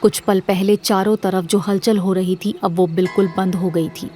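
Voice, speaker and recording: A woman narrating a scripted horror story in a measured, dramatic storytelling manner. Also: medium pitch, steady tone, warm tone, soft background music.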